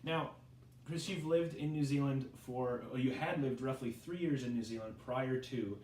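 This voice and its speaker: A man's voice: medium pitch, darkish tone, far from the mic. A man asks long, rambling interview questions, stopping often to restart his sentences and dropping in short acknowledgments. He sounds set back from the mic in an echoey room with faint background hiss. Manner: halting, many ums and restarts, brief backchannels.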